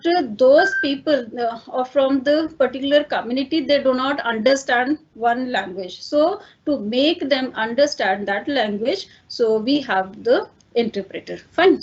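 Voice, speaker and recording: High-voiced Indian woman teaching a lecture. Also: breathy edge, muffled recording. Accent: Indian English. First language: Hindi. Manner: explains step by step with many fillers and "fine" check-ins. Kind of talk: online lecture.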